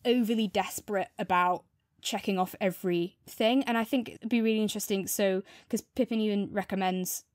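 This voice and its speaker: A woman talks as a podcast co-host in a casual review chat. Her pitch sits in the middle for a woman, and she thinks aloud in long, winding sentences. The sound is dry, with no room echo. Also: steady tone, brisk, few pauses.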